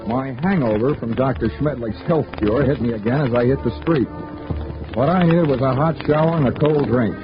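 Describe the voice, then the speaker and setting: A man's voice: medium pitch, moderate pace, natural voice. An actor playing a hard-boiled male insurance investigator who narrates his own case, with a dry, world-weary, wisecracking manner in a 1940s radio drama. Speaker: narrator and lead. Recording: muffled old radio recording with music.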